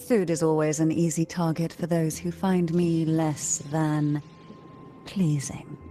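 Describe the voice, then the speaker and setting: A woman playing a sly, poised family matriarch in a video game, with an aloof, teasing and deliberate delivery. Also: low voice, little pitch movement, dark tone, soft background music. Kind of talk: scripted video game dialogue.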